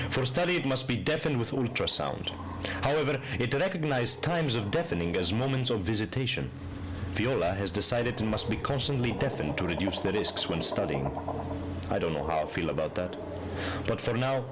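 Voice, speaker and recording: A man's voice: on the low side, lively intonation, moderate pace, background noise, natural voice. A man playing a wary, conscientious research officer dictating a clipped specimen log in an audio drama. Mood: wary, reporting.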